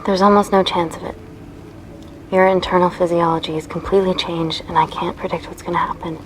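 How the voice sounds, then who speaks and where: A woman's voice: lowish voice, level tone, fairly quick, slight background noise, natural voice, low-quality recording. A woman acting a conflicted, principled female scientist in a naturalistic interactive film drama. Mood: grave, reluctant.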